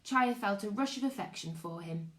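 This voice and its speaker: A woman, a teacher, giving an expressive live read-aloud of a children's story and chatting to her listeners. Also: mid-range voice, moderate pace. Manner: expressive storytelling with character voices. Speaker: teacher reading to pupils online. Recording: echoey room.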